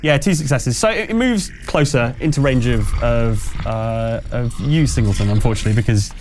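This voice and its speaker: A man narrating as game master of a tabletop horror game, improvising and explaining rules with halting pauses. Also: medium pitch, darkish tone, slight background noise.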